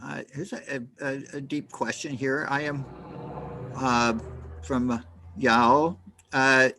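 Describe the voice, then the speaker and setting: A man moderating a talk, speaking in a halting, hesitant way with many restarts. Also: mid-range voice, little pitch movement, background noise. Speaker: host.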